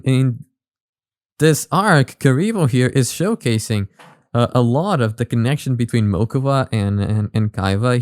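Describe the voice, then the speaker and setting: A man gives casual commentary as a reaction host. His voice is mid-pitched and clear. He speaks haltingly, repeating words, in a very dry, close recording. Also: dry recording.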